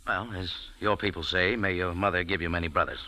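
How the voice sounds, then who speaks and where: An actor playing a wry, hard-boiled American café owner, narrating and talking in casual noir radio style. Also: male voice, low voice, fairly even intonation, fairly quick, sharp tone, rough recording. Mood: dry, probing. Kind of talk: noir first-person narration, old-time radio acting.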